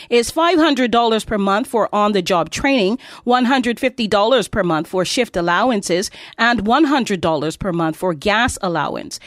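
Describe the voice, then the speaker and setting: A woman's voice: medium pitch, dry recording, studio quality. A woman anchor reading scripted news in a formal broadcast style.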